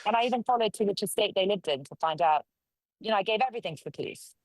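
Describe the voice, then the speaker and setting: A woman's voice: mid-range voice, expressive, racing, no room sound, rough recording. A woman from London, a local councillor, giving forthright, conversational answers as an interview guest. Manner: forthright, conversational, speaks her mind.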